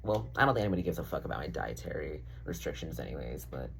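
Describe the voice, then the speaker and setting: A man's voice: a bass voice, big pitch swings, fast, gravelly, slight background noise. A young man rambling casually in a diary-style vlog, full of fillers, swearing and self-deprecating asides. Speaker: vlogger.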